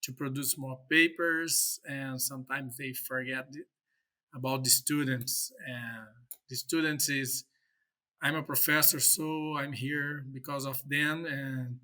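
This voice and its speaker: A man from Brazil with a Brazilian Portuguese accent, Portuguese native, speaking as a professor in a podcast interview, halting with many fillers and restarts. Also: mid-range voice, steady tone, pushed and bright. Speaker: professor and guest.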